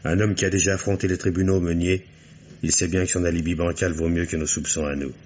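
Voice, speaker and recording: An actor playing a shrewd, patient senior police commissioner in a French radio mystery, questioning in a measured, wry way. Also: male voice, low-pitched, little pitch movement, a little mellow, lightly acted. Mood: resigned, ironic. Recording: dull, noisy old radio recording.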